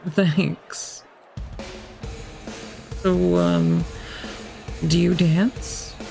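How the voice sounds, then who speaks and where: Actress as a creaky-voiced, reserved young woman. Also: lowish voice, steady tone, drawn-out words, dark tone, faint music behind, exaggerated voice. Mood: shy, friendly. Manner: hesitant, shy, understated. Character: reserved, awkward young female medical student. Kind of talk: audio drama acting.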